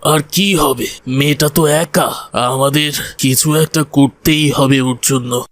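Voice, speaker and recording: A man's voice: mid-range voice, steady tone, takes it slowly, no room sound, studio quality. A man voicing a kindly village cleric with a paternal, reassuring manner in a moral cartoon. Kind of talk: Islamic moral cartoon acting.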